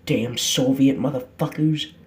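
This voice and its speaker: A man's voice: mid-range voice, steady tone, a little slow, reverberant, lightly acted. A man playing a blunt, foul-mouthed president in an amateur scripted drama. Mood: grim, decisive.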